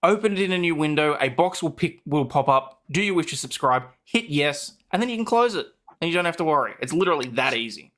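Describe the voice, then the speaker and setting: A man chats casually as a guest on a livestream. His voice is bright and forceful, sitting a little high, and his joking is dry and matter-of-fact. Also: lively intonation, quick pace, few pauses. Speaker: co-host guest with own channel.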